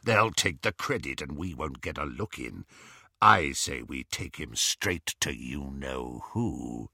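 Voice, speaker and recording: A man voicing a menacing male werewolf who speaks slowly, quietly and with a rasp, often near a whisper. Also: extremely low pitch, lively intonation, no echo, clean studio sound, pitched below the performer's own voice, full character voice. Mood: snarling. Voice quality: rasping, growling. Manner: slow, quiet and breathy.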